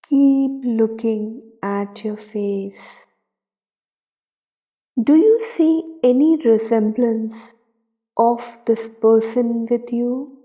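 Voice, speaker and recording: A woman narrating a guided meditation in a soothing, deliberate manner, leaving long pauses between short phrases. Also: a little high, warm tone.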